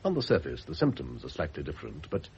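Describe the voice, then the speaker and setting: Male for urbane, ironic British husband in radio play; low voice, very mobile delivery, self-indulgent wit. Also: huge pitch swings, fast, a little mellow, heavily acted. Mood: cynical, philosophising. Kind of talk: classic radio drama acting. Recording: muffled, noisy old radio recording.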